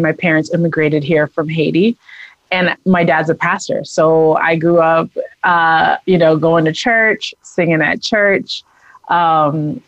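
A woman singer in her thirties, from the American East Coast, chatting brightly and laughing as an interview guest. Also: low-pitched, no echo, dull audio. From United States East Coast. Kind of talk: casual interview talk.

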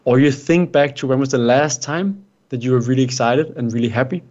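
A man's voice: mid-range voice, fairly quick, a little mellow. A man from Denmark, whose first language is Danish, answering interview questions about life coaching in an earnest, advice-giving way. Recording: dull lo-fi sound.

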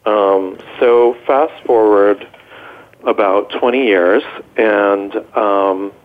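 A man getting over a cold, telling his personal story as an interview guest in a halting, measured way. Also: lowish voice. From United States, grew up in New York state. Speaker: interview guest, hair-care entrepreneur. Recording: tinny phone-line sound.

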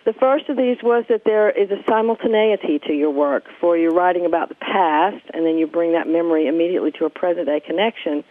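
A woman's voice: on the low side, fast, hardly any pauses, dry recording. A woman hosting an interview, speaking earnestly and effusively in reflective, literary phrases. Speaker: talk show host and interviewer. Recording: muffled phone-grade radio audio.

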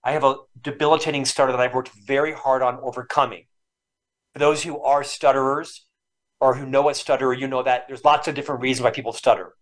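A man's voice: medium pitch, fairly even intonation, fast. A man in his fifties from Florida, a lifelong stutterer, telling personal stories in an earnest and emotional way as a podcast guest. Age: 50s. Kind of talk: reflective storytelling interview.

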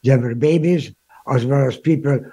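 An older man in his eighties with a Hungarian accent. His voice is husky and mellow, and he talks haltingly, restarting his words as he answers an interviewer. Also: medium pitch. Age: 80s. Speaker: guest, Holocaust survivor. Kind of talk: interview answers, recounting history.

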